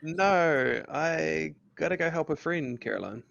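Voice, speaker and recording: A man's voice: on the high side, a little slow, creaky, a bit bright, no echo. A man reading the part of an awkward young man in an amateur podcast table read, earnest and rambling, on a dull lo-fi mic.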